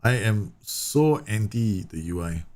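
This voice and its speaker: A man's voice: lowish voice, expressive, unhurried, darkish tone. A man from Singapore livestreaming a football management game, chatting and explaining tactics to his viewers with frequent fillers and restarts. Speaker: streamer. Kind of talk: live game commentary answering chat.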